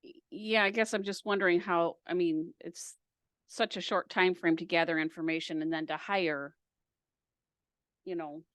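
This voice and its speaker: A woman's voice: on the low side, fairly even intonation, moderate pace, fairly bright tone, dry recording, clean studio sound. Committee member woman asking questions. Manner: questioning, concerned.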